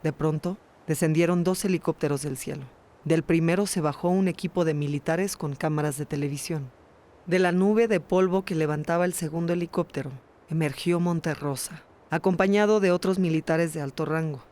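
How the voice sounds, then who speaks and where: A woman's voice: low voice, brisk, dark tone. A woman hosting and narrating a documentary podcast in a scripted storytelling style. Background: music under the voice.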